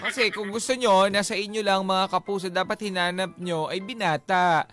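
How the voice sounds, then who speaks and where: Filipino male radio co-host, high voice. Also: steady tone, normal speed, fairly bright tone, soft background music. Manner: chatty, interjecting agreement. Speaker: radio co-host giving advice. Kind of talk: casual radio banter.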